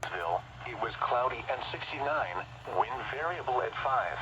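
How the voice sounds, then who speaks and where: A man's voice: medium pitch, expressive, measured pace, noisy background. A male announcer reading out a weather broadcast, heard through a radio speaker.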